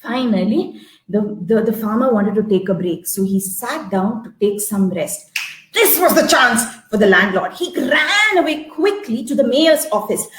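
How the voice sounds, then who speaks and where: A woman's voice: on the high side, lively intonation, normal speed, slight background noise. A woman telling a folktale live in a theatrical way, repeating phrases for effect and checking in with her listeners. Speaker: storyteller. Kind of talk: live interactive folktale storytelling with songs and actions. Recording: roomy, echoey live-stream sound.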